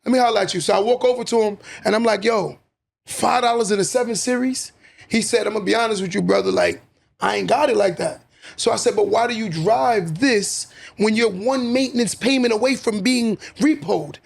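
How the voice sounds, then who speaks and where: Raspy high-voiced man telling stories. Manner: animated storyteller, quick affirmations. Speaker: podcast co-host. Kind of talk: casual podcast conversation, storytelling.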